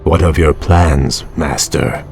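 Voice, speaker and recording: An actor playing a young, noble elf prince in a fantasy video game, formal, earnest and devoted. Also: male voice, extremely low pitch, takes it slowly, darkish tone, soft background music, exaggerated voice. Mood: deferential.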